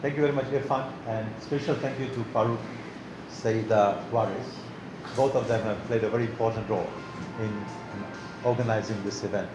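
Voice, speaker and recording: An older man from Tanzania giving an academic lecture as a guest speaker, talking in a relaxed, conversational way and restarting his words as he goes. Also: on the low side, fairly quick, over music. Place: lecture hall. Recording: echoey lecture hall with background noise.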